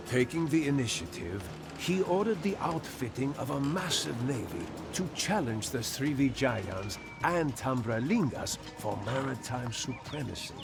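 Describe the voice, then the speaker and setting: Expressive male game narrator over music. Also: mid-range voice. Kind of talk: scripted dramatic storytelling narration for a historical strategy game. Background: background music.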